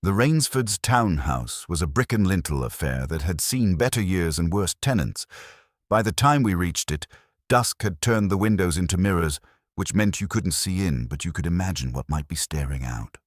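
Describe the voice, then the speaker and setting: A man reading a first-person detective story as an audiobook narrator, in a wry, measured storytelling manner. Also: sits low, expressive, no echo, clean studio sound.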